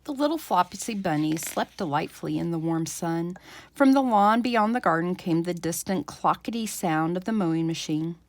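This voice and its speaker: A woman reading a children's storybook aloud as a library storyteller, with expressive, dramatic emphasis. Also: lowish voice, moderate pace.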